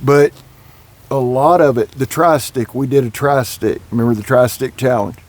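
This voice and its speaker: A man hosting a casual interview outdoors at a Florida camp, enthusiastic and quick to agree. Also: medium pitch, a little mellow. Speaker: host.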